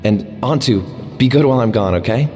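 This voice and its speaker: A man's voice: medium pitch, expressive, moderate pace, mellow, lightly acted. Man playing an earnest healer, long reverb. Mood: sincere, hopeful. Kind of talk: video game voice acting, dramatic dialogue. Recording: long reverb, music underneath.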